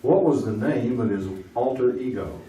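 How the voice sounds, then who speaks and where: A man's voice: medium pitch, moderate pace, mellow, muffled recording. A man hosting an old-time radio style quiz show, joking and wisecracking with contestants. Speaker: game show host. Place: live stage before an audience. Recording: roomy, distant, noisy stage recording.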